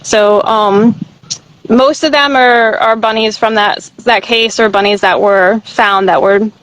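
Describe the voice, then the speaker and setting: A woman's voice: fairly even intonation, a little slow, a bit bright, rough recording. A woman who founded an animal sanctuary, talking casually on a phone video call from outdoors as she walks among the animals. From United States. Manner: slips into a high-pitched pet voice with animals.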